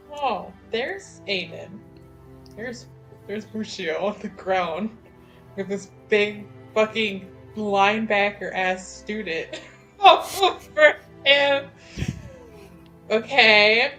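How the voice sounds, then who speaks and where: A woman's voice: medium pitch, lively intonation, very slow, long pauses, sharp tone, faint music behind. A woman playing a tabletop game, talking casually in a wry way with some swearing. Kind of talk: casual, animated game chat.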